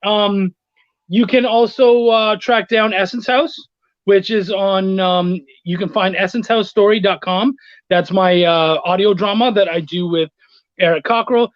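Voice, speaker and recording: A man's voice: high voice, steady tone, unhurried, dry recording, muffled recording. A man chatting casually as an interview guest, with informal, slangy talk. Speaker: guest, comics writer and editor. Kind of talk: casual video chat.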